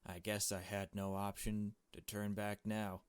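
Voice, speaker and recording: A man's voice: lowish voice, little pitch movement, measured pace, clean tone, no room sound. A man reading a visual novel aloud as a let's play host, chummy and joking, with casual asides and swearing. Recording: music behind the voice. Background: game music.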